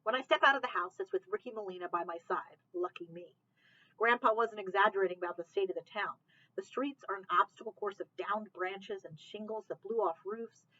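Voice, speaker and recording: A woman reads a children's novel aloud with expressive storybook narration. Her voice is bright and forward. The recording sounds somewhat dull and lo-fi. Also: mid-range voice, brisk. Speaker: library read-aloud reader.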